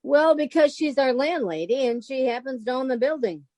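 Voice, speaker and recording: A woman's voice: high-pitched, normal speed, darkish tone, close to an everyday voice. A woman playing a sensible, exasperated roommate in an old-time radio comedy, with a wry, patient delivery. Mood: patient, instructing.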